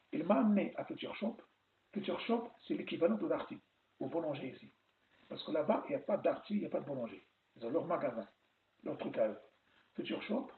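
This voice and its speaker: Man from France, animated vlog advice, thin phone-like sound in an echoey room. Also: fairly high pitch, a little hiss or hum. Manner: animated, repeats himself and restarts often. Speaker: vlogger giving advice on moving abroad. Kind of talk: casual advice talk.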